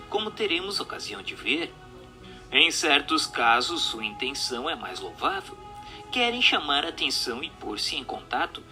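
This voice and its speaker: A Brazilian man reading a book aloud as scripted narration, in a formal, measured and emphatic way. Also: a little high, sharp tone. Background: music.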